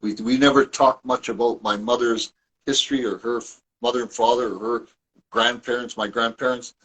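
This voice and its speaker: A man with a husky, slightly rough voice, speaking at an ordinary middle pitch. He talks as an interview guest, circling through stories with restarts and asides. His delivery stays loose and conversational. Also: fairly even intonation, moderate pace, a bit bright, low-quality recording. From Toronto, Canada. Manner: rambling, with frequent restarts and asides. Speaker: guest, author. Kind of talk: casual conversational storytelling.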